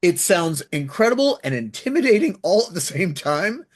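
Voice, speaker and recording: A man hosting a casual interview, enthusiastic and effusive, with a husky voice. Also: high-pitched, very animated, normal speed. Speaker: interviewer, host.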